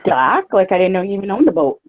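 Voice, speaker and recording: A woman's voice: mid-range voice, big pitch swings, fast, no room sound, lo-fi sound. A woman with an Upper Midwest American accent, co-hosting a livestream in candid, sweary casual chat. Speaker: co-host of a livestream.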